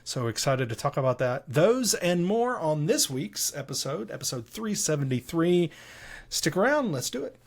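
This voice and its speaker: A man's voice: on the high side, sing-song, normal speed. A man hosting a casual tech podcast, upbeat and chatty, teasing his co-host.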